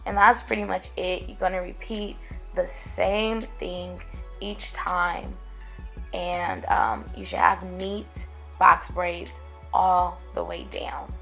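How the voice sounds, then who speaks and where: A young woman, raspy from getting over a cold, chatting casually to her viewers as she explains braiding her own hair step by step, recorded close to a camera at home. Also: lowish voice, takes it slowly, fairly bright tone, soft background music. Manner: chatty, addresses viewers as guys and girl. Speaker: hair tutorial vlogger. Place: small room at home, indoors.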